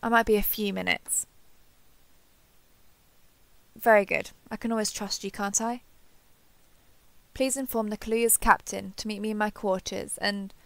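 Woman acting earnest starship captain, creaky voice. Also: mid-range voice, fairly even intonation, unhurried, long pauses, fairly bright tone, no room sound. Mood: brisk, courteous. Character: warm, earnest female alien starship captain, sentimental. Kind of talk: roleplay audio drama, one-sided dialogue.

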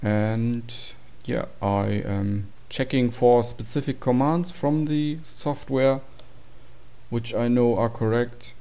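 A man's voice: mid-range voice, fairly even intonation, slow pace, dark tone, smooth. A man giving an informal, matter-of-fact tutorial walkthrough of program code. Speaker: tutorial presenter.

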